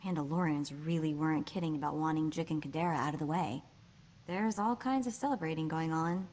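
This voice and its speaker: A woman's voice: sits low, a little fast, darkish tone, a little hiss or hum, close to an everyday voice. A woman voicing a plucky, street-smart young slicer in a video game, wry and breezy, with clipped slangy talk. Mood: determined.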